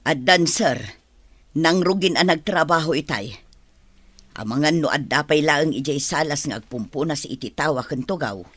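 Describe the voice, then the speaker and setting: A woman's voice: deep voice, expressive, normal speed, a bit bright, husky, no echo, exaggerated voice. An actress playing a strict older head housekeeper, respectful to her masters, in an Ilocano radio comedy-drama. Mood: deferential.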